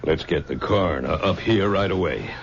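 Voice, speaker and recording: A brisk, skeptical lawman in an old radio mystery, firing off questions in a low voice. A loud hiss runs under his dull, muffled recording. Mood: questioning. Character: skeptical lawman in charge of the search. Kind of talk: 1970s radio drama acting.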